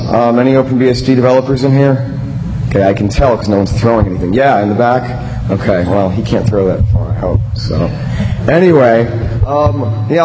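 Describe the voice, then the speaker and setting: Man with a strained voice, joking at a conference. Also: mid-range voice. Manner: chatty, joking, many fillers and restarts. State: losing his voice. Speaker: conference speaker. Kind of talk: casual live tech talk. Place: conference room with audience. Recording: noisy live room recording.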